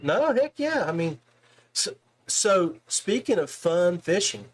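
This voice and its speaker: A man's voice: high voice, very animated, slow pace. A man hosting a podcast, interviewing in a casual, folksy, chummy way and often saying man and brother. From West Virginia, United States. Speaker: podcast host interviewing anglers.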